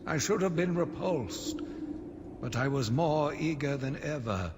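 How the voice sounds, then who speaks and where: Grieving vengeful asylum doctor, very slow solemn delivery, slightly high male pitch, theatrical game monologue. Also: lively intonation. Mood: grieving, haunted. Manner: solemn, measured, brooding, theatrical. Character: embittered male asylum doctor, grief-stricken, zealous, slowly turning vengeful. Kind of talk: dramatic scripted monologue, video game audio log. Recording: long reverberant echo, loud background noise.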